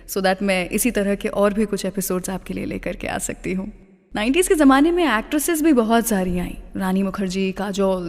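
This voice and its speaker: A woman's voice: medium pitch, a little mellow. An Indian woman presenting a radio show in a chatty way, speaking straight to her listeners and slipping between two languages. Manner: chatty, addresses listeners directly; code-switches between Hindi and English. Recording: long reverb on the voice.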